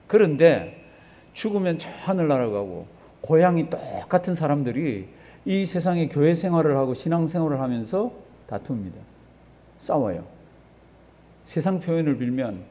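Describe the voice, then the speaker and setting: A man's voice: on the high side, warm tone. A male pastor preaching a sermon in a conversational way, putting rhetorical questions to his congregation and repeating phrases for emphasis. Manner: conversational, asks the congregation rhetorical questions and has them respond.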